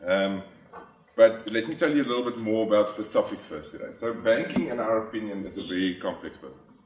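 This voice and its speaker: A man's voice: on the low side, fairly quick, a little mellow. A middle-aged South African man, a native Afrikaans speaker, giving a conference presentation with frequent ums and restarts. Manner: frequent ums and restarts, plain explanatory delivery. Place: large conference hall. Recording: echoey hall, from far off the mic.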